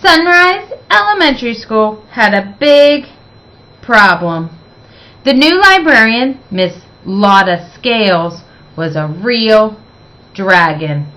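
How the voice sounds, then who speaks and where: A woman reading a picture book aloud in an expressive, deliberate way, stressing words for young listeners. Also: fairly high pitch, low-quality recording. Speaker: children's story reader.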